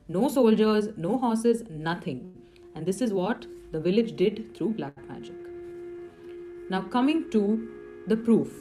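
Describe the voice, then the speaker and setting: A woman's voice: mid-range voice, mellow. A woman telling eerie stories in a semi-scripted, explanatory way, with rhetorical questions and dramatic emphasis. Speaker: storyteller. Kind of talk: semi-scripted horror storytelling. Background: music under the voice.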